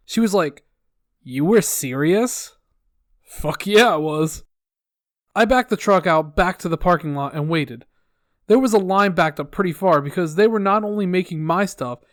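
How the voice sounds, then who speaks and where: A man's voice: sits high, dry recording, clean studio sound. A man reading online posts aloud, adding wry, sarcastic comments between them.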